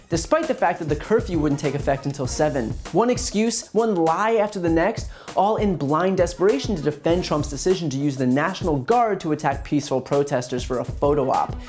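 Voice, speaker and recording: A man's voice: high voice, lively intonation, brisk, hardly any pauses, darkish tone. A man delivering scripted political commentary as a host, in a pointed, sardonic manner. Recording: music under the voice. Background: music bed.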